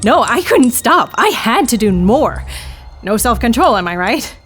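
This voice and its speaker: An actress playing a frazzled female knitter confessing in an audio drama dark comedy, with a theatrical, wry, confiding manner. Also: on the high side, big pitch swings, a little slow, faint music behind. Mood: frantic, wry.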